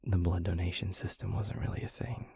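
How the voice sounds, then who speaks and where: A man playing a brooding, gentle vampire boyfriend in an intimate audio roleplay, speaking in a breathy, gravelly half-whisper with halting, earnest delivery. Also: sits low, fairly even intonation. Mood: somber, reflective. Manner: halting, hushed, earnest, with long pauses. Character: brooding, gentle male vampire boyfriend confiding his past. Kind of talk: intimate audio roleplay, soft confessional monologue.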